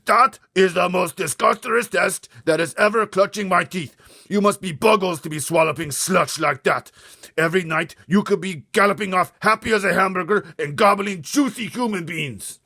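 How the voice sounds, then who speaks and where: A man's voice: high-pitched, normal speed, vocal fry, pushed and bright, dry recording, studio quality, voice pushed up, exaggerated voice. A man voicing a huge, greedy, bullying male giant for a storybook, bellowing insults in broken grammar. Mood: shouting, disgusted.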